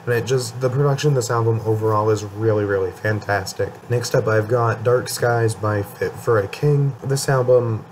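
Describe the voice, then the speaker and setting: A man's voice: lowish voice, steady tone, normal speed, hardly any pauses, a little mellow, slight background noise. A young man talking casually about his favorite albums, his voice a bit worn from a cold, rambling with fillers and restarts. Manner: rambling, many ums and restarts. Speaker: music channel host. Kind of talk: casual album ranking talk.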